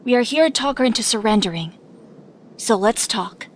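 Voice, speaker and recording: A woman's voice: a little high, animated, normal speed, slight background noise, close to an everyday voice. A woman playing a determined, eager young superhero in an audio drama, speaking with earnest insistence. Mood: tense, determined.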